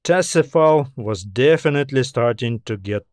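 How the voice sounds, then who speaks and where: A man's voice: mid-range voice, lively intonation, normal speed, darkish tone, no room sound. A man narrating a scripted story as an audiobook author and reader.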